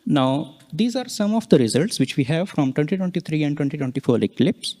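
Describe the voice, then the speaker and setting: A man presenting scientific results, explaining charts step by step and pausing mid-sentence to point things out. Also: a little high, lively intonation, warm tone. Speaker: conference presenter, researcher.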